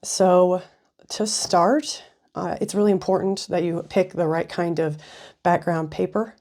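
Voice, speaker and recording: A woman hosting a casual craft tutorial, chatty with frequent ums, her voice slightly raspy and sniffly from a cold. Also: lowish voice, steady tone, measured pace, mellow. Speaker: craft video host.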